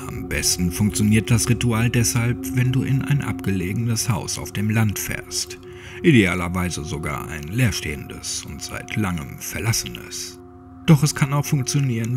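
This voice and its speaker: A man narrating a scripted horror story to the listener in a dramatic, suspenseful way, sometimes dropping toward a whisper. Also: lowish voice. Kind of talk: scripted creepypasta audiobook narration, addressing the listener. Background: music under the voice.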